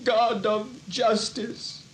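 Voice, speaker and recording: A dying violinist speaks his curse slowly and solemnly in a vintage radio play. His voice sits a little high colours every word. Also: level tone, slight background noise, poor audio. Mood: cursing. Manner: slow, solemn and vengeful. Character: dying Italian violinist cursing his betrayers. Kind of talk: 1930s radio acting.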